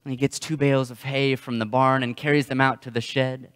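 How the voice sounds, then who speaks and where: A young man preaching a sermon as a pastor, telling stories in a halting way and often repeating words. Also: medium pitch, little pitch movement, a bit bright, clean tone. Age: young adult. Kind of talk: sermon with storytelling. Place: church sanctuary, indoors. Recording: long hall reverb.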